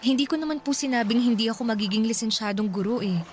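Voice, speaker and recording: A woman's voice: a little high, fast talker, darkish tone, a little hiss or hum, lightly acted. A woman playing a grieving young daughter in a radio drama, polite and pleading with her mother.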